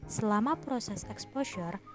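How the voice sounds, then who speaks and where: Polite young woman explaining over loud music. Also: medium pitch, lively intonation, a little slow. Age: young adult. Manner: polite, step-by-step explaining, formally addresses the patient. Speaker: dental radiography student. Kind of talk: skills lab demonstration narration.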